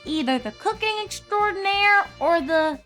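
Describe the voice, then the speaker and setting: A woman's voice: very high voice, lively intonation, a little slow, sharp tone, faint music behind, pitched above the performer's own voice, heavily acted. A woman voicing an earnest, practical little country filly in a cartoon fan story. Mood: thoughtful.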